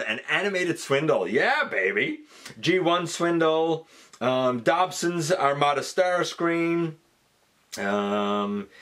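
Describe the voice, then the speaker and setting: A man's voice: mid-range voice, a bit bright. A man hosting a countdown in a casual, chatty style, emphatic and enthusiastic, rambling as he thinks aloud and corrects himself. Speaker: host of a fan countdown channel.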